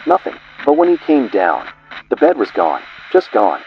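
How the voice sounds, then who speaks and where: A man's voice: mid-range voice, very animated, normal speed, lo-fi sound, slight background noise. A man giving ominous scripted horror narration, delivered in short clipped fragments.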